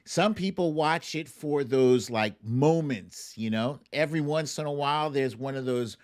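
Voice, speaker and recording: Slow-paced man, casual livestream host. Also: medium pitch.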